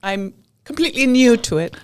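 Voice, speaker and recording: A woman's voice: a little high, expressive, a little fast, clean tone. A woman in her late sixties with an American accent, recounting her life as a casual podcast guest and repeating short phrases. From Europe. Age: late 60s. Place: podcast studio.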